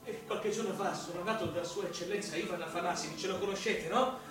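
Guy playing pathetic drunk dad in a radio play, overwrought pleading, really high voice, music behind. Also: fast, lightly acted. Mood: confiding, servile. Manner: pleading, rambling, overwrought, repeating himself. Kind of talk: theatrical radio drama monologue. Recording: very echoey, distant from the mic.

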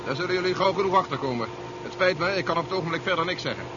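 A noisy, muffled old radio recording carries a man acting a domineering general. His voice sits high for a man and snaps out impatient, commanding lines in science fiction radio drama style. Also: racing, close to an everyday voice. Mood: terse. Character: curt, domineering male general commanding a recovery operation.

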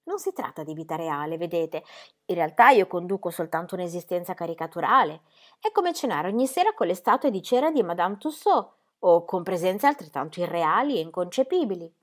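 A woman's voice: on the low side, sing-song, a little fast. A woman voicing a romantic male artist who speaks in lofty, poetic declarations. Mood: melancholy.

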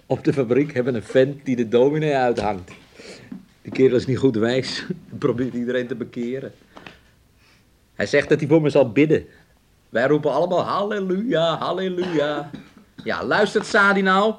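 A man's voice: medium pitch, close to an everyday voice. An actor in a radio play, playing a callous, impatient man who bullies his partner. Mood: mocking storytelling. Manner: brusque, impatient, refers to himself in third person. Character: domineering, callous man bullying his sick woman partner.

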